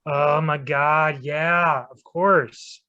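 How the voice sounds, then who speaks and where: A woman's voice: sits low, fairly even intonation, drawn-out words, bright, forceful tone, dull audio, full character voice. A woman playing a villain's flustered, literal-minded henchperson in a staged play reading.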